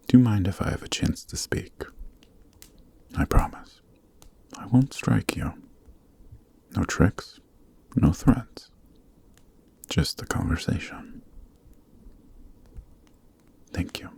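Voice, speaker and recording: A man playing a noble, gentle demon king in an ASMR roleplay, speaking in a measured, soothing near-whisper. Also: low-pitched, vocal fry, husky, full character voice. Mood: calm, earnest. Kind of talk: ASMR roleplay, soft dramatic acting.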